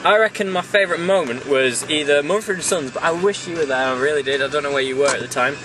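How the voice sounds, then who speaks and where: A young man rambles and jokes crudely, chatting from inside a moving car. His voice sits a little off the handheld camera mic. Steady road rumble runs under everything. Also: fast talker. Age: young adult. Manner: rambling, crude jokey banter. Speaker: vlog co-host. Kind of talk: casual chat. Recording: distant mic, road noise.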